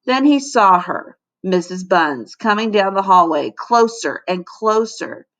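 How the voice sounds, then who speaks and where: A woman teacher narrating a children's storybook aloud in an expressive, dramatic way. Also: medium pitch, measured pace. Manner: animated, dramatic.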